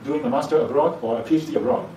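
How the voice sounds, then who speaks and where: A man's voice: mid-range voice, racing, warm tone. A male university lecturer whose first language is Chinese, explaining things in an interview with frequent "uh" fillers. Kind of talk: interview for a program promo. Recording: echoey room, distant mic, background noise.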